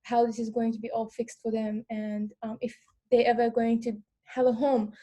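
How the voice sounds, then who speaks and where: Soft-toned, higher-voiced young woman, halting delivery. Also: little pitch movement, highs cut off. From Afghan, lived in Iran. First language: not English. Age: young adult. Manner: halting, repeats words, frequent "like" and "you know". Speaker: panel speaker, former refugee. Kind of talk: informal panel talk, personal account.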